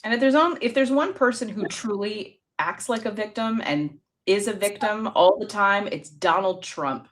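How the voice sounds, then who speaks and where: A woman's voice: mid-range voice, moderate pace, few pauses. A woman co-hosting a podcast, telling stories in a giggly, chatty way full of "like". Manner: giggly, storytelling, lots of "like". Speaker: podcast co-host. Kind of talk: casual chat.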